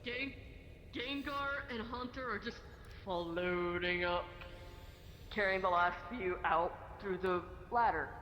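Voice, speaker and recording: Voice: mid-range voice, slow, pushed and bright. A game master improvising a roleplay, narrating the action and speaking for characters. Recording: echoey reverberant voice chat with faint noise.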